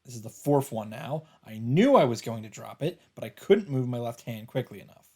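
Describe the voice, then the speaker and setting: A man's voice: medium pitch, animated, fairly quick, darkish tone, husky. A man hosting a let's play, reading visual novel narration aloud. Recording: game music faintly behind. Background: game music.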